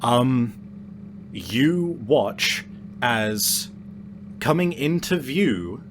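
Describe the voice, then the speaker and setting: A man's voice: mid-range voice, a little hiss or hum. A man acts as game master for a tabletop roleplaying game. He improvises his narration casually and swears freely as he describes scenes to his player.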